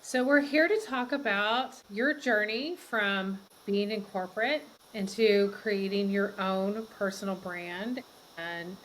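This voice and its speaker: A woman business coach co-hosts a podcast, reading the opening in an upbeat, scripted way. Her pitch sits in the middle range for a woman. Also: fairly even intonation, slow pace, fairly bright tone, slight background noise, rough recording. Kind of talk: scripted intro and interview hosting.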